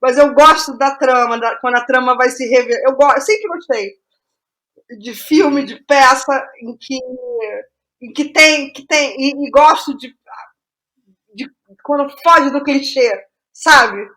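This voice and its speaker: A woman with a Brazilian accent and a fairly high voice talks casually as an interview guest. She thinks aloud, often repeating a phrase before carrying on. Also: takes it slowly, pushed and bright, low-quality recording. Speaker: guest playwright.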